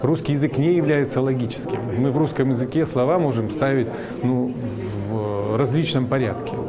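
A man's voice: medium pitch, normal speed, crowd noise. A man teaching English, lecturing an audience on his method in an emphatic, explaining way and repeating his key points.

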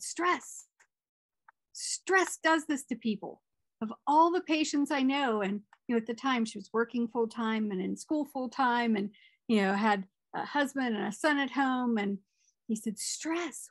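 Middle-aged woman moderator, slightly high, some fry, dry video-call sound. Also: no room sound. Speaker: conference moderator. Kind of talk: moderating a conference Q&A.

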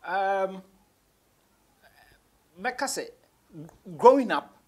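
A man's voice: high voice, expressive, extremely slow. Ghanaian man telling his story. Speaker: rapper, interview guest. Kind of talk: casual interview storytelling, mixing English and Twi. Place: small radio studio office, seated on a couch.

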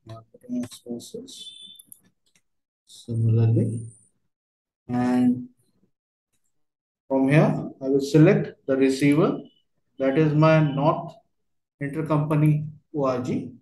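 A man working through a step-by-step software tutorial as a trainer, speaking in halting, fragmented phrases. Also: mid-range voice. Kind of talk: step-by-step screen tutorial narration. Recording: roomy, echoey voice recording.

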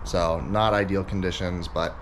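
A man's voice: low voice, steady tone, normal speed. An American man in his thirties explaining things casually as a vlog host, plain-spoken and matter-of-fact. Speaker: car builder and YouTube host. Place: driveway and open home garage. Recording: clear outdoor and garage ambient noise.

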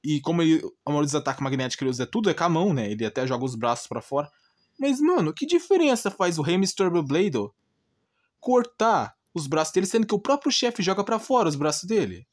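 Higher-voiced Brazilian man ranting informally. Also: sing-song, quick pace. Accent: Brazilian Portuguese. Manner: exasperated, blunt, repetitive with rhetorical questions. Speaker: gaming channel host. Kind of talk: informal opinionated rant.

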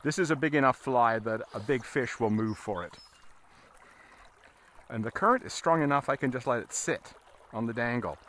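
A man giving a casual, halting fly fishing tutorial as an instructor, talking while he works outdoors. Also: medium pitch, expressive, fairly bright tone. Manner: halting, explaining as he casts. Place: outdoors, standing in a river. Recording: outdoor recording with water noise. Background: running water.

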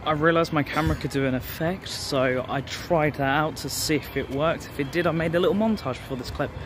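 A man's voice: a little high, brisk, over loud music. A man in his twenties with an English accent, chatting casually and informally to camera as a vlogger, recorded on a phone held at arm's length. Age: 20s. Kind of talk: casual vlog chat to camera.

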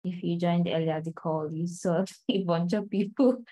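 A woman's voice: lowish voice, moderate pace, warm tone. A woman who works as a community lead, introducing herself on a video call, hesitant, with many restarts and light laughs.

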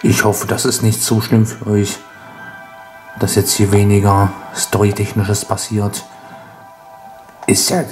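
A man's voice: fairly low pitch, a little mellow. A man with a cold, sounding stuffy, rambling wryly as he hosts a casual gameplay commentary. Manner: rambling, self-mocking, wry. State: congested with a cold. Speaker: let's play host.

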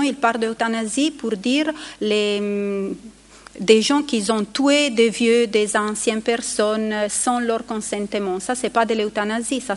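A woman in her forties, a palliative care doctor with an Italian accent, giving a conference talk at a podium microphone, hesitating as she searches for words in her second language. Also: fairly high pitch. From Italy. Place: large conference hall. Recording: long hall reverb.

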